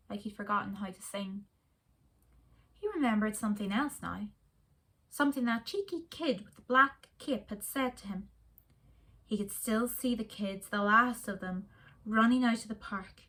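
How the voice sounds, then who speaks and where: A woman reading a children's story aloud in a soft, expressive way. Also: medium pitch.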